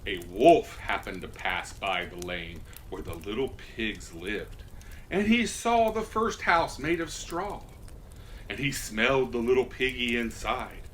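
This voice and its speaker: Slow, animated male storyteller. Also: mid-range voice, a little hiss or hum. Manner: folksy, theatrical. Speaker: storyteller presenting as Santa. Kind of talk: animated children's story reading.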